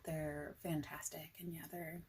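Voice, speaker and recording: A woman speaking softly and breathily in an ASMR roleplay as a friendly, informal boss, often near a whisper, in a casual, hesitant, rambling manner. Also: low-pitched, level tone, unhurried, a little hiss or hum. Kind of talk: soft-spoken ASMR roleplay, informal job interview chat.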